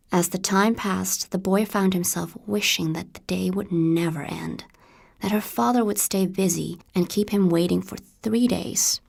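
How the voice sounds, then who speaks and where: A woman from the Netherlands, a native Dutch speaker, reading scripted voice-reel narration in an American accent with a breathy voice. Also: lowish voice. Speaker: voice actor.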